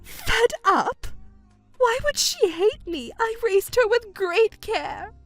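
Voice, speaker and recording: A woman voicing a selfish middle-aged stepmother who whines, wails and accuses in a shrill voice. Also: very animated, slow pace, soft background music, full character voice. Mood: indignant. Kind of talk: narrated drama dub.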